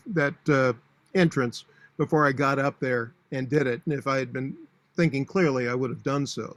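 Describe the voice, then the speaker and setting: A man in his sixties with a booming voice, giving practical, matter-of-fact advice on public speaking with frequent fillers. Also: no echo. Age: 60s. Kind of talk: instructional talk.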